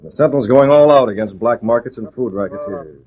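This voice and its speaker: An authoritative American newspaperman of forties radio drama, played by a man. His mid-pitched voice is businesslike and decisive, heard through a narrow, tinny old recording. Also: a little fast, a little hiss or hum, natural voice. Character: brisk, decisive newspaper boss investigating rackets. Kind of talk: 1940s radio drama acting.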